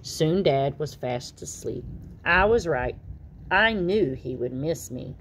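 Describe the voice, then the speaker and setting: Slow, animated woman reading a storybook. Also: low-pitched, a little hiss or hum. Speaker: storybook reader. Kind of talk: expressive children's read-aloud narration.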